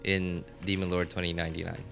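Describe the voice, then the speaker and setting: A man's voice: low-pitched, level tone, fast talker, creaky, fairly bright tone, soft background music. A man hosting a gaming livestream, chatting casually and putting questions to his viewers. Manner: addresses chat with repeated questions. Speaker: livestream host. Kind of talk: casual gaming stream chat.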